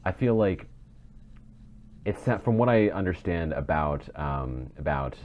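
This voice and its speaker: A man's voice: low voice, expressive, a little slow, narrow, tinny audio, a little hiss or hum. A man co-hosting a podcast, thinking aloud tentatively in casual discussion. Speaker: podcast co-host.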